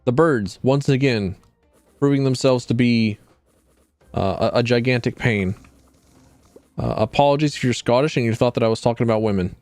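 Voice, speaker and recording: A man's voice: medium pitch, normal speed, long pauses, a little mellow, no room sound, soft background music. A man streaming a video game, chatting casually in a rambling, wry way and often correcting himself. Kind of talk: casual gameplay commentary.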